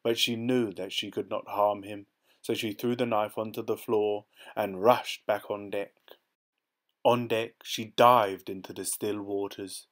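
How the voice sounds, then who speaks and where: A man calmly reading a fairy tale aloud from a storybook. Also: fairly low pitch, unhurried. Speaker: storybook reader. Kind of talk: gentle read-aloud storytelling.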